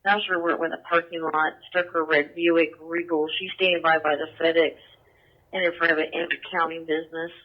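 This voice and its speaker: Male radio dispatcher, higher-pitched and even, procedural delivery through a narrow, tinny scanner. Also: a little fast. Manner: matter-of-fact, spells out plates phonetically. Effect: police radio.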